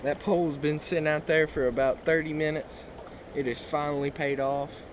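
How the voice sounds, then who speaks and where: A man's voice: a little high, fairly even intonation, moderate pace. A young man in a small boat on a river, proudly and casually talking about the fish he caught, recorded on an action camera. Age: young adult. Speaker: fishing buddy. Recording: outdoor action-camera noise.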